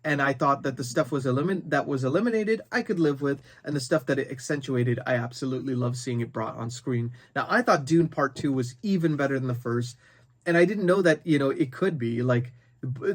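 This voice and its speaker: Man chatting casually about books. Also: medium pitch. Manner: conversational, thinking aloud. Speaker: book review video host. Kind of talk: casual unscripted book chat to camera.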